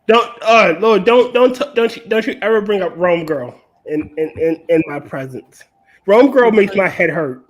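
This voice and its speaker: High-voiced man making theatrical protests. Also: expressive, moderate pace, lo-fi sound. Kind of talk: casual chat.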